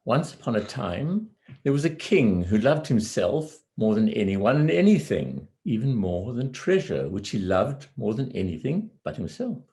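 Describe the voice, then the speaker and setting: A man telling a fairy tale in a deliberate manner, pausing between phrases. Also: mid-range voice, sing-song, warm tone. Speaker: amateur storyteller. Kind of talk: measured fairy tale storytelling.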